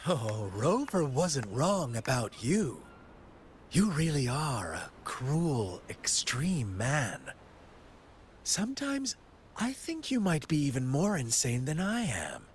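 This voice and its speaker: A gleefully cruel, unhinged game villain. His delivery is slow and mocking, savoring each phrase, and his pitch leaps about theatrically. He sounds like a showman who delights in menace. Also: big pitch swings, a little hiss or hum. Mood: amused, mocking. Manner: mocking, flamboyant, drawn-out phrasing. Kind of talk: video game cutscene acting.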